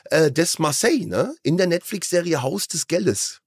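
Lively, theatrical man hosting a podcast. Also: a little high, breathy edge, dry recording, studio quality. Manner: excitable, theatrical, quick to joke. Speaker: podcast host. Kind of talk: playful podcast interview.

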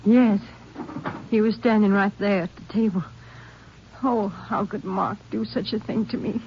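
An actress playing a frightened, distraught rancher's wife, pleading and suspicious, in an old-time radio western. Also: female voice, mid-range voice, fairly even intonation, a little slow, a little hiss or hum, close to an everyday voice. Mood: hurt, suspicious. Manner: overwrought, pleading delivery. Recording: muffled vintage radio recording.

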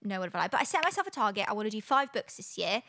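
A woman's voice: mid-range voice, very animated, rapid-fire, sharp tone. A young woman from England hosting a podcast, telling stories in casual chat and laughing easily. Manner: chatty storyteller, laughs easily. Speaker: podcast host.

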